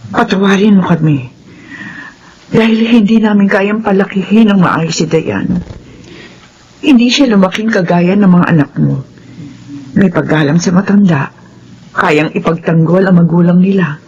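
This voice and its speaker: A woman playing a gracious, remorseful employer and mother in a radio drama, measured and earnest. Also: mid-range voice, darkish tone, breathy edge, dull audio.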